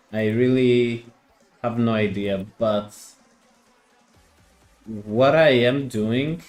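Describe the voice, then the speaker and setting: Slow, hesitant man streaming a game. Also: medium pitch, darkish tone. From Greece. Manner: hesitant, repeats words, answers chat. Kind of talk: casual live-stream chat.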